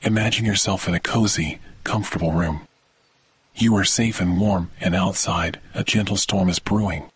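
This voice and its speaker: A man hosting a guided sleep meditation, speaking in a soothing, measured, scripted delivery. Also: steady tone, slightly rough, no room sound. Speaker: meditation podcast host. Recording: thunder ambience under the voice. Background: thunder rumbles.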